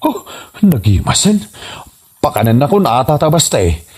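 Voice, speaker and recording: An actor playing a cheeky, greedy working husband with an Ilocano accent in a radio comedy drama, husky and wheedling. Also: male voice, medium pitch, sing-song, measured pace. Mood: wheedling, excited. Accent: Filipino, Ilocano.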